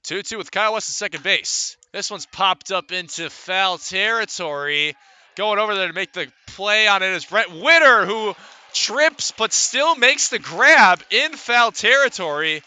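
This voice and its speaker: An American man calling baseball live as a radio play-by-play announcer, reciting statistics between pitch calls. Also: expressive, a little slow, sharp tone, clear, resonant tone. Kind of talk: live sports commentary.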